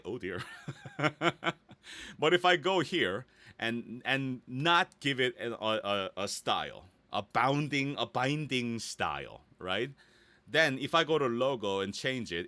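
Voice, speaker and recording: Guy doing a casual how-to, bright crisp voice, really animated pitch, playful, thinks out loud. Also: no room sound. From Korea or United States. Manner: playful, often checks in with "right?". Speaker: voice actor giving a website tutorial. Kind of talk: casual screen-share tutorial, thinking aloud.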